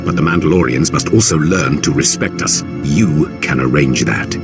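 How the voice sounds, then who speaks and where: A man playing a smooth, ambitious Imperial governor in a video game, with a courtly, speechmaking manner. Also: a bass voice, moderate pace. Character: smooth, ambitious Imperial Moff overseeing a desert sector. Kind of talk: video game character acting. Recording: dramatic music behind.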